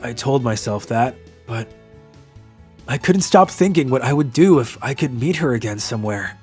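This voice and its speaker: Casting: male actor as earnest young office worker, mid-pitched, narrating a manga love story over faint music. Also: animated, moderate pace, darkish tone, breathy edge. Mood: wistful, reflective.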